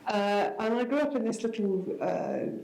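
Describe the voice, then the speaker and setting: A woman's voice: mid-range voice, sing-song, normal speed, gravelly, mellow, echoey room, low-quality recording. A woman in her sixties who grew up in Scotland, a guest speaking on stage in a wry, self-deprecating way with many restarts. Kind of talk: conversational talk on stage. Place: event hall with audience.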